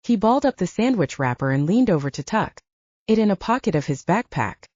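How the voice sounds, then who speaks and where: A woman's voice: medium pitch, big pitch swings, brisk, darkish tone, breathy edge, no echo, highs cut off. A woman narrating an audiobook, reading scripted romance fiction.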